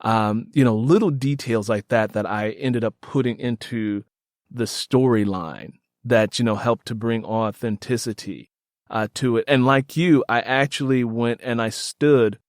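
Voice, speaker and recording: A male host and storyteller with a medium-pitched voice. He is recorded close, with very little room sound. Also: measured pace, no room sound. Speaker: podcast host and author. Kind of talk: conversational interview and scripted audio drama narration.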